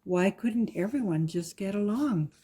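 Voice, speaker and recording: A woman reading a rhyming children's picture book aloud with a measured, sing-song delivery. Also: mid-range voice, lively intonation, dark tone, slight background noise. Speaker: guest story reader.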